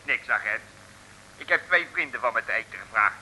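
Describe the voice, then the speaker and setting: An actor playing a forlornly cheerful Dutch chip-stall owner in an old comic radio serial, chattering and muttering ingratiating asides. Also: male voice, mid-range voice, expressive, brisk, pushed and bright, low-quality recording. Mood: forced cheer. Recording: old radio recording with faint noise.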